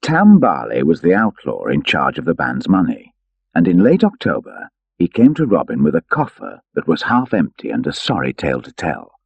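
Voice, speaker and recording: A man reading an adventure story as an audiobook narrator, in an expressive, theatrical storytelling manner. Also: rumbling low, moderate pace, gravelly, breathy edge, no echo, highs cut off.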